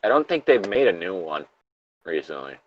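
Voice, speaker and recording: Male co-host, low register, chatty read-aloud with blunt asides, lo-fi sound. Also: sing-song, moderate pace. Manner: informal, profane, self-interrupting. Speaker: co-host reading and riffing on internet horror stories. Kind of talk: casual read-aloud with banter.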